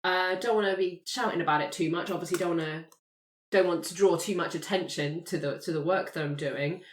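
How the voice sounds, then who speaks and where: A woman's voice: fairly low pitch, brisk, a little hiss or hum, lightly acted. Woman as a private eye, guarded, bit self-important, hesitant, echoey improv roleplay. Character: discreet, self-important female private investigator.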